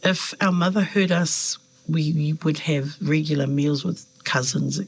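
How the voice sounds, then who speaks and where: Gravelly, husky New woman. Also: low-pitched, unhurried. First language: Samoan. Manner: thoughtful, hesitant with fillers, animated when quoting others. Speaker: member of parliament. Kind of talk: reflective interview answers.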